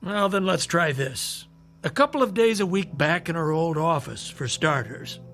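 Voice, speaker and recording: An actor playing a kindly family doctor in a radio drama, soothing and gently skeptical with a lightly teasing bedside manner. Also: male voice, fairly high pitch, expressive, moderate pace, faint music behind. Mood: concerned, probing. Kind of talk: modern radio drama acting.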